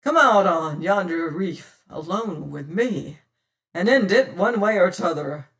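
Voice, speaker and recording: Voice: mid-range voice, animated, a little slow, a bit bright, distant mic. Reader doing a swaggering pirate captain. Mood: hailing. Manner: hearty, swaggering, old-fashioned seaman's talk. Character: bold cunning male pirate captain.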